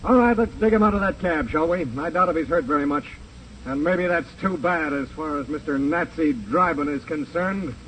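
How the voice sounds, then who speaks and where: An actor playing a dry, assured federal investigator with an official, wry manner in a 1950s radio crime drama. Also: male voice, fairly high pitch, moderate pace, close to an everyday voice. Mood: calm, wry. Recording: noisy 1950s radio recording.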